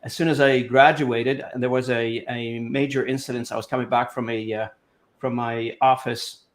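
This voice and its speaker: A man from Lebanon in his fifties, a guest telling his life story in a relaxed interview over a video call, rambling with lots of fillers and restarts. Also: medium pitch, moderate pace.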